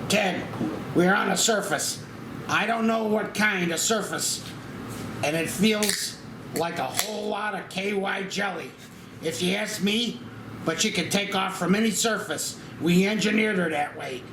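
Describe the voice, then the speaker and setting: A man playing an earnest, excitable airline man who urges and stammers in a screenplay table read. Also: high-pitched, a little slow. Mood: urging. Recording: echoey room with background noise.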